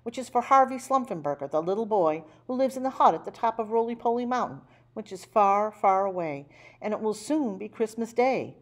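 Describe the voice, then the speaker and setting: A woman voicing a polite, earnest old man in a children's storybook, courteous and clear about his errand. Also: mid-range voice, expressive, brisk, voice pushed up, natural voice. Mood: polite, pleading. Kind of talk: storybook character voice.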